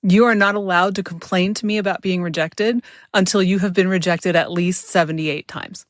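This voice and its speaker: An American woman with a slightly low voice hosts a casual interview. She speaks conversationally, and the recording is dry and free of room sound. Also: steady tone, normal speed, dry recording. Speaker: podcast host, interviewer.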